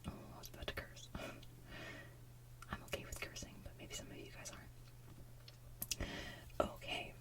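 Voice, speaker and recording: A woman hosting ASMR in a hushed, breathy, playful way, moving between whispered chat and soft singing. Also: normal speed, pauses often. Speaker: ASMR channel host.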